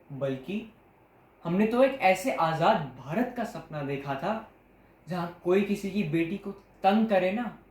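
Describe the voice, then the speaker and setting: Impassioned man reciting, high and slow. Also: reverberant. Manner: impassioned, rhetorical, with pointed questions and pauses. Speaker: monologue performer. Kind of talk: recited patriotic dramatic monologue.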